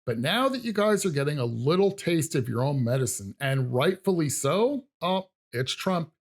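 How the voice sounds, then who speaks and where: A man's voice: on the high side, sing-song, moderate pace. A man giving sarcastic, emphatic political commentary on video clips.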